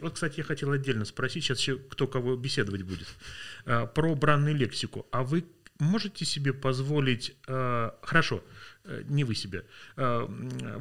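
A man from Russia talks as a podcast guest, reflective and unhurried in thought, often pausing on filler sounds. He sounds worn out at the end of a working day. His voice sits at an ordinary middle pitch. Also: a bit bright. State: tired. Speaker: linguist guest. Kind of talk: podcast interview.

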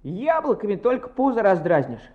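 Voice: mid-range voice, very animated, normal speed, close to an everyday voice. An actor playing a sheepish, defensive schoolboy in a radio play, evasive and reluctant. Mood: reluctant, casual. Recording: slightly dull old radio recording.

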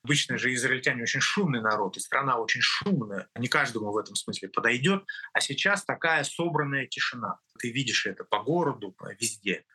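A middle-aged man from Russia, a guest in an interview, speaking earnestly and emphatically in short, measured phrases. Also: medium pitch, expressive, sharp tone, husky.

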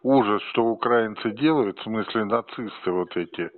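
A man's voice: lowish voice, moderate pace. Man chatting on a tinny phone line. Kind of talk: casual phone conversation. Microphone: telephone call. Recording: tinny band-limited phone line, dry.

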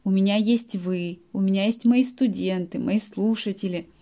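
A woman's voice: medium pitch, fairly even intonation, normal speed, dark tone. A woman in her late twenties, a native-speaking language teacher, talks to her students in a podcast monologue and stops to explain words in simple terms. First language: Russian. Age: late 20s.

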